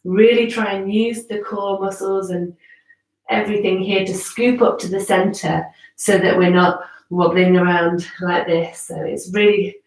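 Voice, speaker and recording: A woman leading a live yoga class in measured cues with conversational asides, sounding slightly congested in the nose. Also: mid-range voice. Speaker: yoga instructor. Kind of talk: live guided yoga class, instructional talk. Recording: roomy echo, distant from the mic.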